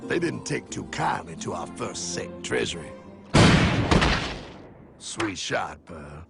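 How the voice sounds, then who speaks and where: A man voicing an excitable, folksy cartoon guide who narrates history with dramatic flair. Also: low-pitched, slow pace, gravelly, heavily acted. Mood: storytelling, dramatic. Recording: loud music behind the voice.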